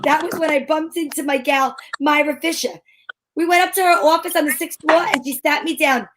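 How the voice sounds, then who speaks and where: A woman's voice: high-pitched, fairly even intonation, a little fast, background noise, natural voice. A woman playing a breezy, wisecracking woman detective who narrates her case in an amateur old-time radio drama, wry and slangy. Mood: wry storytelling.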